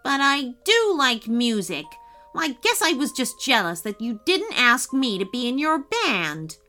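A woman's voice: high-pitched, very animated, unhurried, bright, forceful tone, faint music behind, heavily acted. A woman voicing a grumbling, sullen male elf loner in a children's story. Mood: sheepish, sorry. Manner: grumbling, bitter. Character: sour, grumbling male elf loner, bitter then sheepish.

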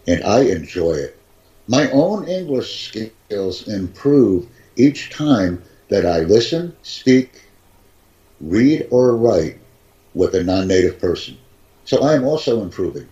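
A man with a lowish, dark voice explains how to learn English, speaking slowly and enunciating each phrase with care. He repeats his main points like a patient teacher. The recording sounds dull and lo-fi. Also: very animated. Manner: slow, carefully enunciated, repeats key phrases. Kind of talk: slow, deliberate teaching talk.

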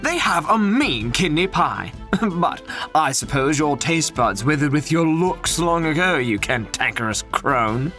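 An actor playing a cheeky, teasing heckler who banters mockingly in a theatrical audio drama. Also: male voice, fairly high pitch, expressive, a little slow, sharp tone, faint music behind. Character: cheeky, teasing tavern-loving heckler of a storyteller.

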